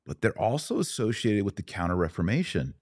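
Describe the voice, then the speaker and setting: A man's voice: lowish voice, sing-song, a little fast, darkish tone, husky, dry recording, clean studio sound. A man hosting a podcast, talking conversationally about religion in a reflective, explanatory and agreeable way. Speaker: podcast host.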